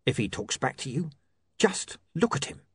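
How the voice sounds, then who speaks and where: A man voicing a smooth, calmly menacing male criminal in a storybook reading. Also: medium pitch, normal speed, dry recording, voice pushed up, heavily acted. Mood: coaxing. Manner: calm reasoning menace.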